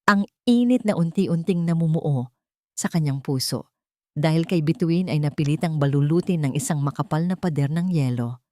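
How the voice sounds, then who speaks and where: Female Filipino storyteller, lower register, scripted dramatic narration, close dry recording. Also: animated, normal speed, warm tone, no echo.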